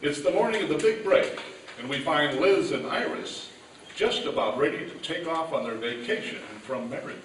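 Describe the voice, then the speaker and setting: A man's voice: fairly high pitch, lively intonation, normal speed. A man reading scripted announcements and narration in an old-time radio announcer style on a live stage. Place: large event hall, live stage. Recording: echoey hall, distant mic, faint noise.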